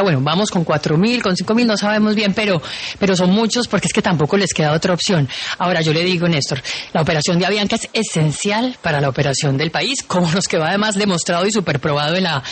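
A woman co-hosting news radio, emphatic and quick to interject. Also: on the low side, slightly rough. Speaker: radio co-host.

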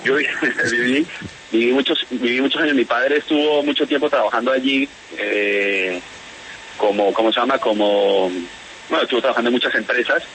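A Spanish-born man who speaks with a Bogotá accent, giving a radio interview and talking haltingly with many repeats and restarts. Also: medium pitch, a bit bright, a little hiss or hum, low-quality recording. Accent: Bogotá Colombian Spanish. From Spain. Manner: hesitant, repeats words, restarts. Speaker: interviewee, whistleblower.

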